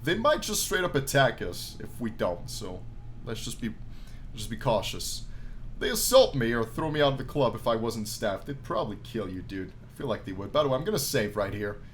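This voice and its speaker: A man playing a game on his channel, reading the story aloud as animated narration and dropping in casual, jokey asides. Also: mid-range voice, moderate pace, slight background noise. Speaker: gaming YouTuber reading a visual novel aloud. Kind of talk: let's play commentary mixed with dramatic read-aloud narration.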